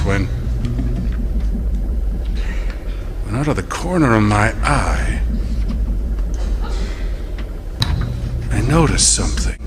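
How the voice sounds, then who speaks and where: Slow-talking, folksy lighthouse keeper. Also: male voice, lowish voice, background noise. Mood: tense, suspenseful. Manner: folksy, halting, reflective. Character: plain-spoken, wistful lighthouse keeper recounting his tale. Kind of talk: dramatic radio narration.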